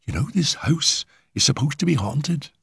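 Man doing nervous young woman, storybook, raised voice, hurried and halting, husky, lively. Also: a little high, no echo, clean studio sound, voice pushed up, exaggerated voice. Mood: frightened. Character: frightened young woman, anxious and sensitive.

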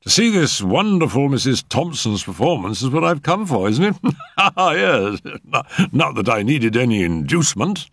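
Guy doing a pompous genial top doctor from a storybook, lecturing, very animated, close dry sound. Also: on the low side, creaky, dry recording, voice pushed up, exaggerated voice. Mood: jovial, courteous. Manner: pompous, measured, emphatic lecturing. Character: pompous, genial eminent male physician, fond of lecturing.

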